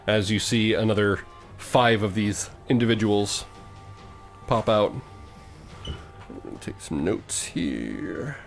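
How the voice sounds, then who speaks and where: A man narrating a tabletop game as game master, improvising in a halting way. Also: lowish voice, expressive, creaky, soft background music.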